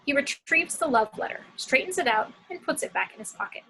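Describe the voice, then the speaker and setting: A woman's voice: high-pitched, expressive, brisk, sharp tone, faint music behind, poor audio. A woman reading scripted action descriptions as the narrator of a screenplay table read.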